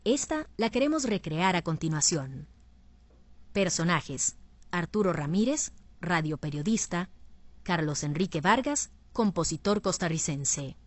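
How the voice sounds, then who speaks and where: A woman presenting a radio programme, reading scripted documentary narration in a formal, measured way. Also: on the low side, very animated, dry recording.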